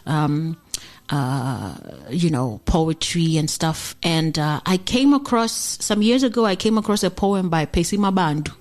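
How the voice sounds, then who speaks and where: South african woman, middle-aged, jazz singer guest, reflective, halting speech. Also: low voice, a little mellow. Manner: reflective, many fillers and restarts. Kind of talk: casual interview chat.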